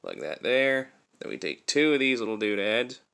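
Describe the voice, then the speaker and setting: A man's voice: medium pitch, big pitch swings, normal speed, creaky, bright, forceful tone, smooth. A man giving a casual hands-on demonstration as a toy reviewer, explaining things step by step.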